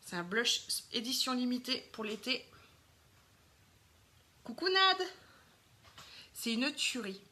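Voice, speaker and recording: Brief: French woman, 30s, bright slightly high voice, casual chatty live-stream host full of fillers. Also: big pitch swings, slow pace, long pauses, a little hiss or hum. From France. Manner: chatty, lots of fillers, greets viewers while doing makeup.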